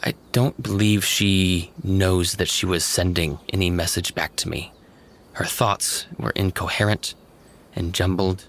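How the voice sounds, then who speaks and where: A man voicing a grave, sympathetic mystic seer with formal, measured wording in a roleplaying game. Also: low voice, fairly even intonation, fairly bright tone. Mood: solemn, sympathetic.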